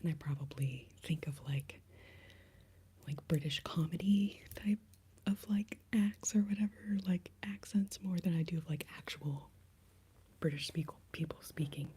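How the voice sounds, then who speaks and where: An American woman in her late thirties whispering in a breathy voice, chatting casually and playfully as an ASMR live streamer. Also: low-pitched, measured pace, dark tone. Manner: playful, self-deprecating. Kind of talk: whispered ASMR, casual rambling chat with viewers.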